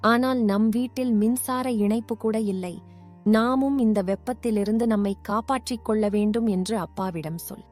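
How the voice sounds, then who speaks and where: A woman voicing a high, pleading little girl. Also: normal speed, darkish tone, faint music behind, natural voice. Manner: pleading, insistent. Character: young village girl, the daughter. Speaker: voice actor.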